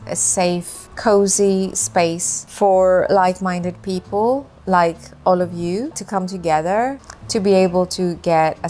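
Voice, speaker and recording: A woman in her fifties, a native Greek speaker, answering viewer questions in a gentle, chatty, reflective way. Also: on the low side, a little hiss or hum. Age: 50s. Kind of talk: casual Q&A chat.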